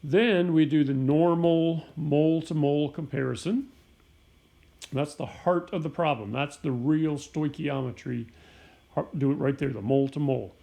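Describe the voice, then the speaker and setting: A middle-aged man teaching a chemistry lesson while sick, with a raspy, hoarse voice, explaining step by step and often clearing his throat. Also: fairly high pitch, normal speed, mellow. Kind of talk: recorded homeschool lesson.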